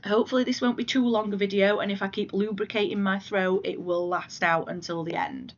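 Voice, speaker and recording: Croaky-voiced uk woman chatting about cross stitch from her sofa, bit muffled recording, mid pitch. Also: steady tone, brisk. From United Kingdom. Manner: rambling, self-deprecating, talks to her cat. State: hoarse from a cold. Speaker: flosstube vlogger. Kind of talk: casual chatty vlog. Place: living room at home.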